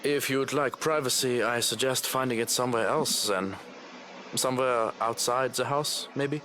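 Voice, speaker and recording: A man's voice: medium pitch, normal speed, bright, forceful tone, soft background music, natural voice. Man acting surly, ominous servant. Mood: cold, insolent. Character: Johans, surly ominous male servant, coolly insolent. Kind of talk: scripted visual novel voice acting.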